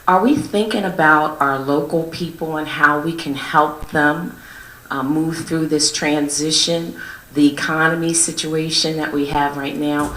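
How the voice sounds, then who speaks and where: Woman resident at a council podium, low voice, roomy hall, earnest and questioning. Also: sharp tone. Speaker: resident speaking at public comment. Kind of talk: public comment at a council meeting. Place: township meeting hall.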